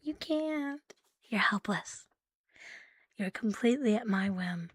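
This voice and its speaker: A woman with a medium-pitched, breathy voice does ASMR for a live stream. She takes her time, often dropping into a soft whisper close to the microphone. Her manner is playful and intimate, drifting between roleplay and casual rambling. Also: expressive, takes it slowly, dry recording. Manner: playful, giggly, intimate, lingering on repeated words.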